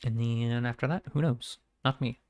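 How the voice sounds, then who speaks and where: Male streamer, monotone, rambling casual chat, medium pitch, dry close mic. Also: moderate pace, no room sound. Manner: monotone, rambling, self-correcting.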